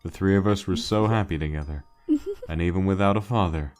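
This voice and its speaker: Deep-voiced weary skeleton acted by a man. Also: a little mellow, faint music behind, natural voice. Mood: wistful, reflective. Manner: halting, subdued, wistful. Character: weary adult male skeleton monster, guilt-laden joker. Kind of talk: dramatic fan comic dub, reflective storytelling.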